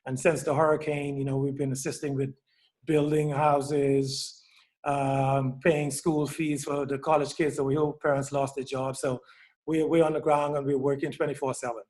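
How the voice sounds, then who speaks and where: A man's voice: medium pitch, little pitch movement, normal speed, darkish tone. A man in his sixties with a Bahamian accent, talking casually as a panelist and repeating short phrases as he goes. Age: 60s.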